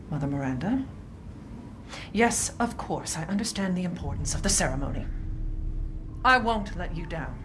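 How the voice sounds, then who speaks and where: An actress playing a haughty, menacing aristocratic mother in a video game, theatrical and condescending in her drawn-out delivery. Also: mid-range voice, background noise, lightly acted. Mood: restrained, formal. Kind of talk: dramatic video game acting. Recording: game cutscene with music behind.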